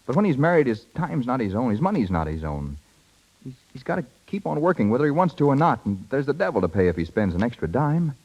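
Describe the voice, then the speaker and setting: A fast-talking, stubborn young husband. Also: male voice, mid-range voice, sing-song, darkish tone, dull audio. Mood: frustrated. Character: proud, stubborn young husband, restless and resentful. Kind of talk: 1930s radio drama acting.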